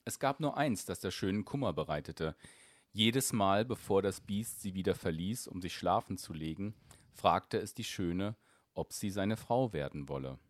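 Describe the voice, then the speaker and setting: A man calmly narrating a fairy tale in a measured storytelling voice. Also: low voice, no room sound.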